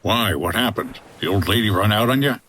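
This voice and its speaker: A gruff American adventurer of the 1950s, played by an actor, with a deep voice. He is dry and wry, delivering lines like an old radio detective. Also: fairly quick, a bit bright. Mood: brisk, dry. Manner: dry, hardboiled.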